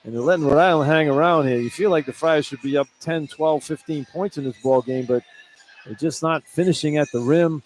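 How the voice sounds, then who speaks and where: A man with a slightly high voice works as color analyst on a live basketball radio broadcast. He explains the plays in a conversational way, circling back over his own phrases. Light arena noise is heard behind him. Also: a little fast, darkish tone, poor audio. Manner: conversational, explanatory, repeats himself. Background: arena ambience.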